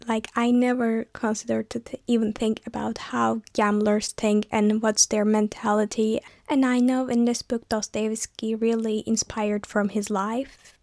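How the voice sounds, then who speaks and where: A young woman whose first language is Turkish, chatting softly about her thrift finds and books in a casual vlog, with pauses and restarts. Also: on the high side, no room sound, studio quality. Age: young adult. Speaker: vlogger, university student. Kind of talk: casual vlog voice-over chat.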